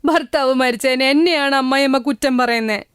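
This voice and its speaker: A woman's voice: high-pitched, a little fast, fairly bright tone, clean tone, no echo, studio quality, pitched above the performer's own voice. A woman voicing a young newlywed bride who pleads and despairs. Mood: distraught. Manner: pleading, tearful.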